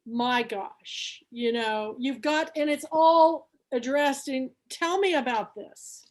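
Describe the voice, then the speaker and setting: Book club host: a woman, high and unhurried, gushing about books on a video call. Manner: gushing and enthusiastic. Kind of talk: casual chat on a video call.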